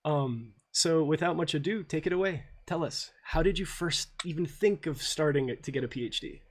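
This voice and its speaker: A man's voice: a little high, normal speed. A man hosting an interview series, introducing his guest in a friendly, informal way with plenty of fillers. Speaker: podcast host, interviewer.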